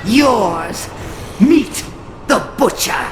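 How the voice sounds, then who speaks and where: A woman's voice: fairly high pitch, lively intonation, drawn-out words, music in the background. Mocking cult witch. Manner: mocking, theatrical. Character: cruel female cult leader witch, scheming.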